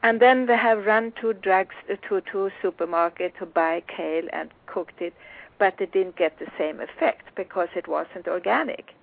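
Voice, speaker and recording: A woman from Sweden with a Swedish accent, hosting a casual interview in a halting way, repeating words as she goes. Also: medium pitch, creaky. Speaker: radio show host. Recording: tinny phone-like radio sound.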